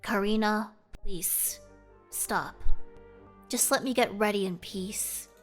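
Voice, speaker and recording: A woman's voice: medium pitch, slow pace, close to an everyday voice. A woman plays a tired, long-suffering dad in a visual novel, strained and pleading. Music runs behind the voice. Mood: weary, pleading. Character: weary, put-upon father, grown man.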